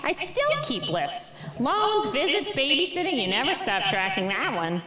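A woman's voice: sits high, huge pitch swings, a little slow, voice pushed up, exaggerated voice. A woman voicing a blunt, no-nonsense older grandmother in a live memoir reading. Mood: emphatic. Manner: brassy, no-nonsense. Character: blunt, emphatic older grandmother giving advice. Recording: echoey theatre sound with audience noise.

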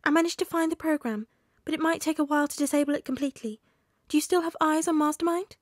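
A woman voicing a small, earnest male animal character in an audiobook story, speaking formally and in measured phrases. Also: high-pitched, no room sound, clean studio sound, voice pushed up, lightly acted. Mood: businesslike.

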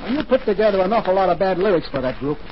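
A man's voice: high voice, expressive, racing, background noise. An American man playing a blunt, exasperated song composer in 1940s radio drama style. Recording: muffled old radio recording.

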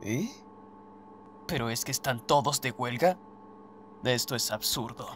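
A man's voice: mid-range voice, a little slow, fairly bright tone, lightly acted. A man with a Latin American accent playing a timid, stammering teenage gamer in a fan-dubbed webtoon. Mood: muttering, bewildered. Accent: Latin American Spanish. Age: young adult. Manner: stammering, breathless, hesitant. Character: timid, panicky teenage boy, a reclusive gamer. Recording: faint background noise.

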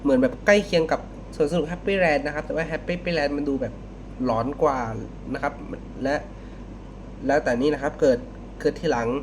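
Man chatting haltingly over noticeable noise. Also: fairly high pitch, fairly even intonation. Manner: halting, repeats words while thinking. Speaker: reaction video host. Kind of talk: casual unscripted reaction commentary.

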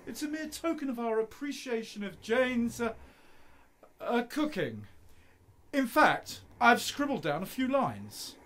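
An actor playing an idealistic, stubborn young husband and poet in a radio play, breezy and insistent. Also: male voice, very high voice, big pitch swings, a little slow, a bit bright, heavily acted.

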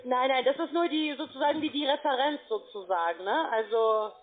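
A woman working as a call centre agent, talking a customer through steps on the phone in a halting, flustered way. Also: high-pitched. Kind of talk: phone sales support call. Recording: narrow, tinny phone line.

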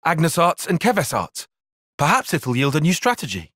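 Bright, intense young tactician fighter. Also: male voice, on the high side, huge pitch swings, normal speed, dry recording, studio quality, heavily acted. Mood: calm analytical. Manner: formal, precise, intense. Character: young male tactician fighter, earnest, calculating, proud. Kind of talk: video game battle voice lines.

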